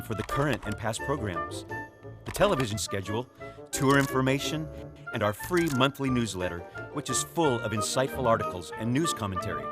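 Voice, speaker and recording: Male announcer over loud music. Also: medium pitch. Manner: smooth, promotional. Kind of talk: scripted promotional announcement.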